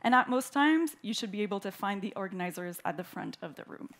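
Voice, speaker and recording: A woman from Canada giving the formal welcome speech at a conference, clear and orderly as she reads prepared remarks into a stage microphone. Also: mid-range voice, animated, quick pace, fairly bright tone. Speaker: event host, opening speaker. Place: conference hall.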